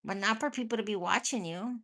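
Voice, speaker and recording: A woman's voice: mid-range voice, a little fast, sharp tone. A woman hosting a casual live chat with her viewers, rambling, swearing freely and laughing often, with a stuffy nose and a cough. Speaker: livestream host chatting with viewers.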